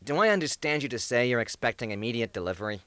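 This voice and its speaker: A man's voice: mid-range voice, lively intonation, quick pace, pushed and bright, no echo. A man playing a prim, harried commodities broker, formal and politely exasperated, in a radio comedy. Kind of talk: satirical radio comedy acting.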